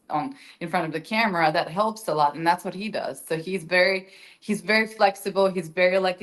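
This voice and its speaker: A young adult woman with a slight Ukrainian accent, an actress guest chatting casually in an interview, with many fillers and restarts. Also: deep voice.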